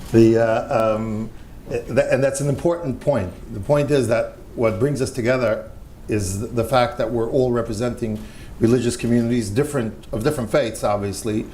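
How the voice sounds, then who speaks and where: An older man with an American accent talks on a panel discussion, earnest and emphatic, often repeating a phrase to drive it home. His voice sits at an ordinary middle pitch. A faint hiss runs under the recording. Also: fairly even intonation, normal speed, dark tone. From United States.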